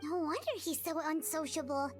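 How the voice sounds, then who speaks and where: A woman's voice: high voice, steady tone, normal speed, music in the background, natural voice. A woman voicing a small, childlike female head nurse in a video game, earnest, polite and inquisitive. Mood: concerned.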